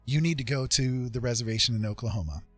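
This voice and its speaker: A middle-aged American man chatting reflectively on a livestream, speaking earnestly and emphatically with halting pauses. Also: mid-range voice, no echo, faint music behind. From Indiana, United States. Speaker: livestream host. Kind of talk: casual reflective livestream chat.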